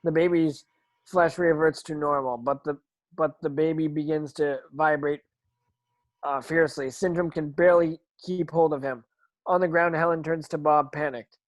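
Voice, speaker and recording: Higher-voiced man, halting script narrator. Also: fairly even intonation, dry recording. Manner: halting, stumbling over words. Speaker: stage directions reader. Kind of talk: scripted narration at amateur table read.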